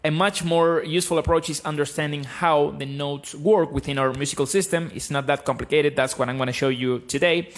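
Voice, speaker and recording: A man in his thirties from Argentina, with an Argentine accent, giving a blunt, animated guitar lesson on a live stream from a small home room into a close stand mic. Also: fairly high pitch, brisk, hardly any pauses. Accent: Argentine Spanish. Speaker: guitar teacher. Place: small room with bookshelves, indoors. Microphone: studio mic on stand, close.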